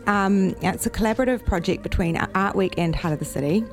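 A woman's voice: medium pitch, brisk, soft background music. A woman hosting a casual interview, chatty and bubbly, reading intros with small stumbles. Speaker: radio show host.

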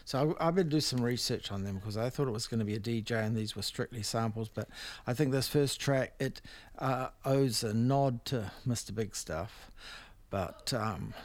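A man's voice: medium pitch, moderate pace, dry recording. A man with a New Zealand accent hosting a music radio show, chatting casually in a rambling, hesitant, laid-back way. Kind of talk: casual unscripted radio DJ chat.

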